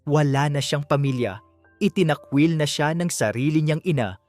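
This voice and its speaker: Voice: medium pitch, normal speed, darkish tone, no room sound, faint music behind. A narrator reading a scripted love story in a dramatic audiobook style.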